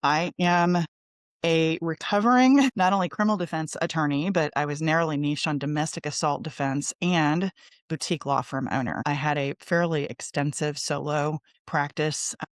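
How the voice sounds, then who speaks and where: A woman's voice: deep voice, moderate pace, no room sound. Podcast guest: American woman, middle-aged, conversational storyteller, candid and informal, dry close recording. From Texas, United States. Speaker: podcast guest, lawyer and coach.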